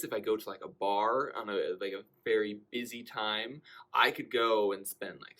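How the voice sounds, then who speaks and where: A young man with a mid-pitched voice tells a personal story. His delivery is casual and conversational, like someone sharing an anecdote with a group, and he acts out the dialogue as he goes. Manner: animated delivery, acting out quoted dialogue.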